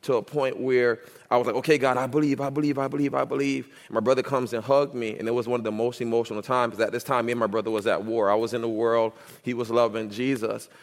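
A man's voice: mid-range voice, flat delivery, fast, hardly any pauses. A man in his thirties from Miami, a youth pastor being ordained, telling his testimony in an earnest, repetitive, emphatic way, with a slight stutter. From Miami, United States. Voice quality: speech impediment, stutters when nervous. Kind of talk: personal testimony and doctrinal answers in church. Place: church sanctuary. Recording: reverberant church hall.

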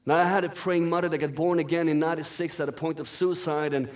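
A man's voice: on the high side, steady tone, fast. A man born in Iran and raised in Sweden, whose first language is Farsi, preaching a sermon as a guest speaker and reading scripture in an earnest, flowing way.

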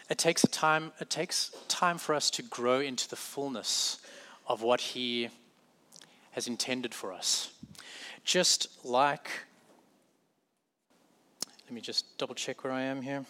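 Male pastor, South African accent, bright tone, relaxed sermon delivery with self-corrections and light humor. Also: medium pitch, slow pace, long pauses. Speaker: preacher.

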